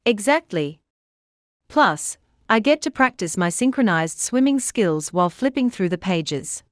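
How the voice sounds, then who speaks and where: A woman voicing a witty, playful elephant in scripted children's comedy, chatty and teasing with clear wording. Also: medium pitch, lively intonation, a little slow, dry recording, studio quality, close to an everyday voice. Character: witty, playful talking elephant who gives reading tips.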